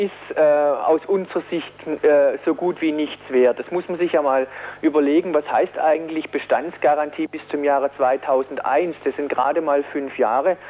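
Through slightly muffled, band-limited radio audio comes a man with a fairly high voice. He answers political interview questions spontaneously, hesitating often and repeating words as he goes. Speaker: Green politician, media policy spokesman.

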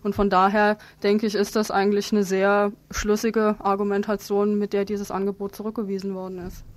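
Woman interviewee, halting and even-toned. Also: mid-range voice. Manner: hesitant, halting delivery with frequent ums. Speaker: activist interviewed as a guest. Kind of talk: radio interview, spontaneous political explanation.

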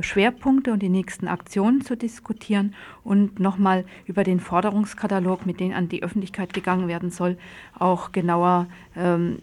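Female radio presenter, mid-pitched, informal news and interview delivery. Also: darkish tone.

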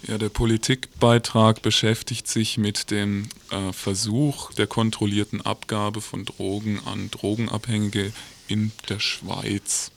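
A man's voice: lowish voice, little pitch movement, unhurried, vocal fry. A man working as a radio editor, announcing what is coming up on the programme. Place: radio studio. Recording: music playing under the voice. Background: loud music.

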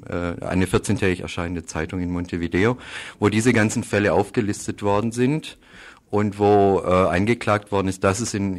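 A man explaining political news in a radio interview, halting often and repeating words as he goes. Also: deep voice. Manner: halting, hesitant delivery with frequent pauses and repeated words. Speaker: interviewee reporting on Uruguay.

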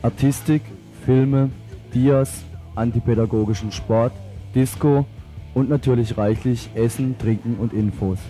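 A man's voice: mid-range voice, fairly even intonation, measured pace. A man reads a scripted radio announcement for an activist group's event. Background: guitar music.

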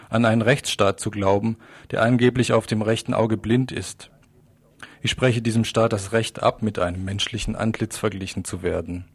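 A man delivering a scripted, polemical political radio commentary with a sardonic edge. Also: mid-range voice.

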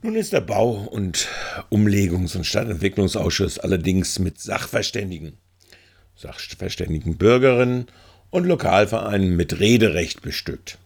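A man's voice: deep voice, sing-song, normal speed, vocal fry, husky. A man giving spoken political commentary as a reporter, in long wordy sentences.

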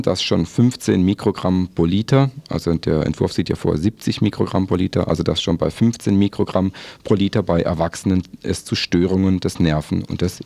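A man with a very low, mellow voice presents a radio magazine in a dry, close studio sound. He explains step by step, a little haltingly, often rephrasing what he has just read. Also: vocal fry, no echo.

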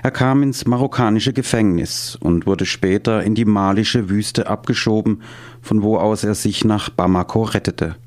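Low-voiced man narrating a documentary. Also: normal speed, darkish tone. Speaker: narrator and voice-over reader.

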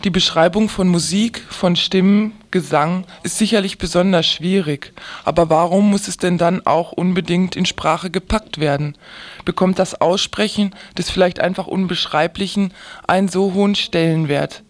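A woman's voice: fairly low pitch, moderate pace. A woman reading a scripted commentary for the radio.

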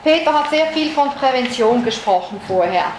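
Female lecturer, Swiss-raised, explanatory, fairly high voice, roomy and noisy recording. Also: lively intonation, normal speed. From Switzerland. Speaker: psychologist giving a lecture. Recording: echoey room recording with noise.

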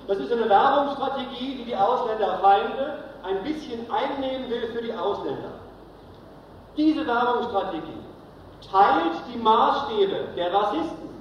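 A man delivering a polemical political lecture as a congress speaker, emphatic and repeating phrases for stress. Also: high voice. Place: large hall, live speech. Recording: very echoey hall, distant, dull, faint noise.

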